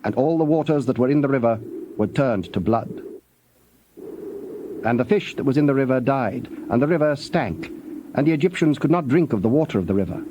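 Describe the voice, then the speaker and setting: Guy narrating bible drama, formal and measured, normal pitch, faint birds cooing in the back. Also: lively intonation, highs cut off, background noise. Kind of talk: scripted narration of dramatized scripture.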